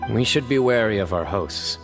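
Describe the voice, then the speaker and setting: A composed, watchful hero from a fantasy game. The voice is fairly deep and measured, with music clearly behind it. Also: male voice, expressive. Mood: wary. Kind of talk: video game character acting.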